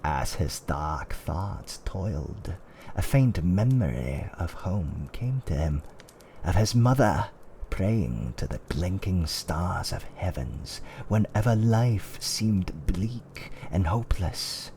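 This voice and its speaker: Man playing a slow theatrical tavern-keeper storyteller. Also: deep voice, lively intonation, warm tone, a little hiss or hum, heavily acted. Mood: dark, suspenseful. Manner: theatrical, archaic wording, drawn-out suspenseful delivery. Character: older male fantasy tavern owner, theatrical fireside storyteller. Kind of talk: roleplay ASMR dark storytelling.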